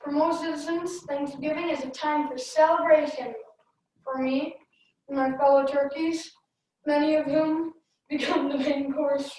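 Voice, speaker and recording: Young female reader, high-pitched, scripted play delivery, distant mic, heavy room echo. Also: a little mellow, slight background noise. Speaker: readers theater performer.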